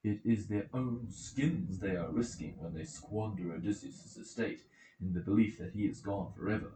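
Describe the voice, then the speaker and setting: A man's voice: fairly low pitch, normal speed, mellow, a little hiss or hum, close to an everyday voice. A man voicing an earnest, scolding old nobleman giving an emphatic speech in an audiobook of an epic. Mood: indignant. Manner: emphatic, declamatory. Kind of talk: dramatic audiobook character reading. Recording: roomy echoing home recording.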